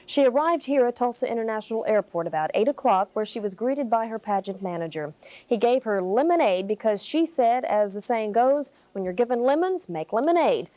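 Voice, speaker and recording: A woman's voice: a little high, expressive, brisk, warm tone, no room sound. A woman reading local television news as an anchor, in a newscast style. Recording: faint music, 1980s TV broadcast.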